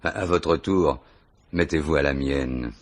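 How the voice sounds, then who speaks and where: Wry deep-voiced police commissioner. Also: male voice, a little slow. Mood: firm, probing. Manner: dry, conversational, gently ironic. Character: wry, dogged, unflappable police commissioner on a murder case. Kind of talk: French radio mystery play acting.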